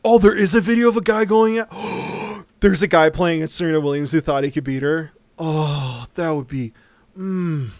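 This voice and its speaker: A man hosting a livestream, rambling casually in an animated, sarcastic, sweary storytelling way. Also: a little high, normal speed, no echo. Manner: acts out mocking quotes. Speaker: livestream host.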